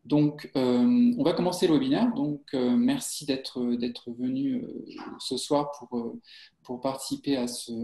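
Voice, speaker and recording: A man in his forties from France, hosting a live job-search webinar on a webcam, halting with many fillers and restarts. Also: mid-range voice, creaky, dark tone. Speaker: webinar host, job site co-founder. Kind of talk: hesitant live webinar talk. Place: office with a printed wall behind. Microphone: webcam on a video call. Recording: echoey room on a webcam.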